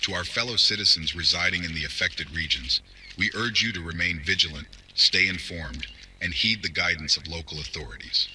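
A man's voice: low-pitched, brisk, bright, forceful tone, a little hiss or hum, rough recording. A man playing a grave, resolute government minister giving a formal, solemn address to the nation in a drama. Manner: solemn, oratorical. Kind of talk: formal scripted televised address.

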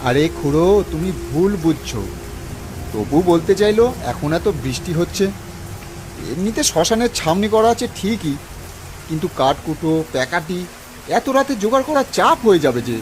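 A man's voice: sits high, sing-song, moderate pace, noisy background. An actor playing a reluctant young village man in a cartoon, reasoning and protesting in a pragmatic way. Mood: pleading, reluctant. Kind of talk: cartoon ghost-story dialogue.

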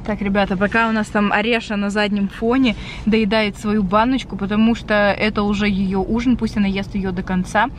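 A young woman in her twenties, chatty and lively, talking to viewers and cooing at her cat in a car. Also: medium pitch, fairly quick, hardly any pauses. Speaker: pet vlogger. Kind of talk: casual vlog talk to viewers. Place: inside a car at night.

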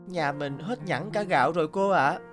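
A man's voice: on the high side, moderate pace, lightly acted. A man voicing an earnest, devoted young peasant in a cartoon, with a plaintive manner. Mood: caring, worried. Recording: music behind the voice.

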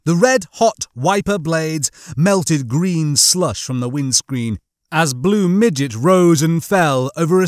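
A man's voice: on the high side, no room sound, clean studio sound. A man narrating a comic science-fiction audiobook from a script.

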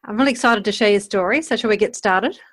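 Quick-talking woman podcast host. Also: medium pitch, a bit bright. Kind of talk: casual interview questions.

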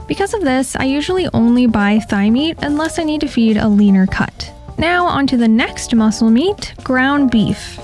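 Female vlog narrator, higher register, scripted instructional voice-over with light music underneath. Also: a little mellow. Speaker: pet channel host. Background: background music.

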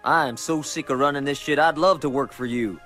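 A man's voice: medium pitch, brisk, a bit bright, faint music behind, natural voice. An actor playing a weary, exasperated male restaurant manager in a video game cutscene.

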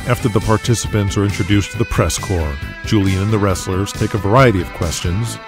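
A man narrating an audio drama in a scripted, steady storytelling manner. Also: lowish voice, fairly quick, over music.

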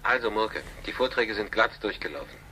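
Actor as officious, fussy radio department boss. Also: male voice, lowish voice, expressive, a little fast, a crowd in the background, lightly acted, poor audio. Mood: fussy, exasperated. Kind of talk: German radio drama acting.

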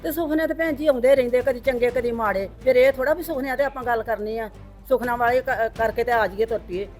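A woman playing a stubborn middle-aged mother-in-law in a family drama skit, talking in a sharp and dismissive way. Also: a little high, fast talker, faint music behind, natural voice. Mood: scolding.

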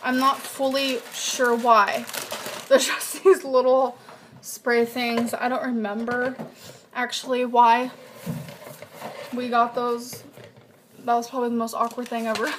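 High-voiced young woman chatting in her kitchen. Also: a little slow, pauses often, a little hiss or hum. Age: young adult. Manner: chatty, reads out nutrition numbers in a flat list. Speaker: vlogger. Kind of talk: casual grocery haul vlog. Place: home kitchen, indoors. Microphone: built-in webcam mic at desk distance.

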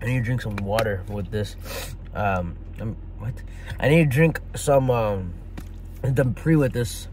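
Young male fitness vlogger, low-ish voice, loose and jokey, talks in stops and starts. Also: unhurried, a little mellow, a little hiss or hum. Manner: rambling, filler-heavy, self-correcting; laid-back, jokey. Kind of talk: casual vlog talk to camera.

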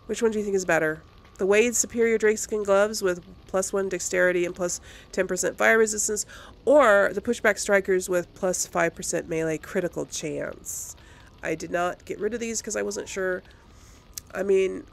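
A woman's voice: medium pitch, creaky. A woman giving casual, chatty gameplay commentary to her viewers, often thinking aloud. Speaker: host of a video game let's play series.

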